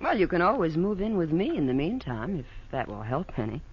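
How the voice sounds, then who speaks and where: A scheming nightclub woman, speaks in animated, insinuating swings with a show of innocence. It is old crime-drama radio, dull in sound with some hiss. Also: lowish voice, fairly quick. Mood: feigned friendliness. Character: scheming jilted nightclub woman named Mona, coolly plotting. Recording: muffled, hissy old radio broadcast.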